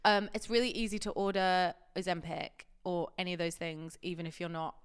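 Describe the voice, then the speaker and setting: A woman's voice: lowish voice, flat delivery, brisk, clean tone. Woman podcast co-host, chatty and sweary, a bit sniffly with a cold. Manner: chatty, swears freely, laughs while talking. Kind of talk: casual chat.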